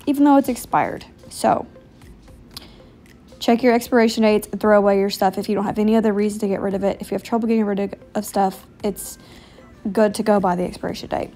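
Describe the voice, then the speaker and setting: Chatty American woman with a mellow voice. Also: mid-range voice, fairly even intonation, quick pace, soft background music. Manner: chatty, informal, self-deprecating. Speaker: homemaking vlogger. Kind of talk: casual chatty vlog.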